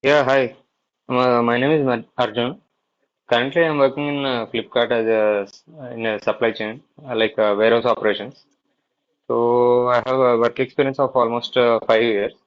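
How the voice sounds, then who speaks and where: Flat-voiced man, hesitant introduction. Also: mid-range voice, normal speed. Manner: hesitant, self-correcting. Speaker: warehouse operations worker, student. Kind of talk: self-introduction on a call. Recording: muffled video call audio.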